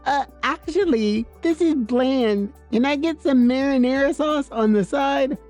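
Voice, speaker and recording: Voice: high-pitched, measured pace, darkish tone, soft background music, voice pushed up. A storyteller voicing an entitled, attention-seeking woman diner in a whiny, defensive, showy way. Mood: casual, entitled.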